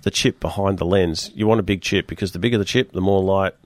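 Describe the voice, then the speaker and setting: A fast-talking man hosting a tech radio show, his voice on the low side and hoarse and croaky. He rambles along in a casual, animated way, and the studio sound is dry and close. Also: no echo.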